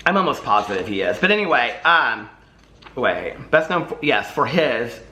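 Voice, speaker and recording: A man's voice: mid-range voice, very animated, normal speed, sharp tone. A man chatting casually as a book vlogger, gushy and playfully dramatic, often wandering off into rambling asides. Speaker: book vlogger hosting a readalong. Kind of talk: casual chat to camera.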